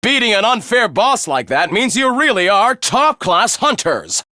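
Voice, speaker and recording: Theatrical high-voiced smug young schemer. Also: male voice, big pitch swings, a little slow, pushed and bright, no echo, studio quality, exaggerated voice. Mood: mock-gracious. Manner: theatrical, mock-polite, taunting. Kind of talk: English video game voice acting.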